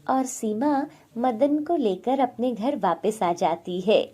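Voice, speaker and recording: A woman's voice: fairly high pitch, expressive, slow pace, warm tone. A woman narrating a scripted moral bedtime story as a storyteller.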